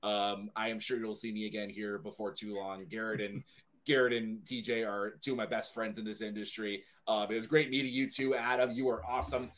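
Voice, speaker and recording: A man's voice: lowish voice, little pitch movement, brisk, a bit bright. A man chatting casually as a podcast guest, friendly and appreciative. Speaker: podcast guest, entertainment news writer. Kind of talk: casual chat.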